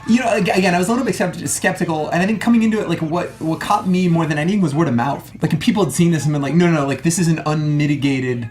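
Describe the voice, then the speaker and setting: A man's voice: on the high side, very fast, few pauses, darkish tone, a little hiss or hum. A man co-hosting a casual movie review podcast, chatty and effusive in manner. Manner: effusive, peppers talk with "like" and "right?". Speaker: podcast co-host. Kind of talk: casual film review chat.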